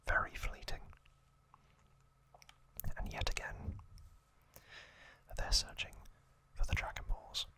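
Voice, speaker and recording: A man whispers softly as an ASMR host, with no voice behind the breath. The sound is bright and crisp in its hiss, and the delivery is very unhurried, breaking into short phrases. He recaps trivia informally, with halting little asides. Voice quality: soft, breathy unvoiced whisper.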